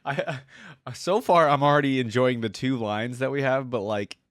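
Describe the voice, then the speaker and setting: A man's voice: mid-range voice, normal speed, no echo. A man hosting a reaction video with casual, conversational commentary.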